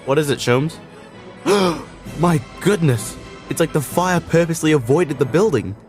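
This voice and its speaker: An actor playing a sensible, long-suffering doctor and detective's sidekick with a dry manner, in a comic radio drama. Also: male voice, a little high, lively intonation, a little slow, darkish tone. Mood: alarmed. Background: music.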